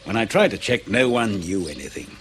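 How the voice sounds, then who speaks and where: Radio play: spy on the defensive, animated pitch, clipped and testy, light ambient noise. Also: male voice, fairly quick. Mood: irritated, emphatic. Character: defensive, prickly British male field agent under questioning. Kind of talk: naturalistic radio drama acting.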